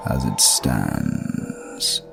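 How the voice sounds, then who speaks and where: Deep, creaky, ominous otherworldly being. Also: male voice, exaggerated voice. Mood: solemn, cryptic. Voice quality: deep, gravelly. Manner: formal, measured, cryptic. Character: solemn, ominous male otherworldly entity, calm and cryptic. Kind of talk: audio roleplay acting. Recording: music behind the voice.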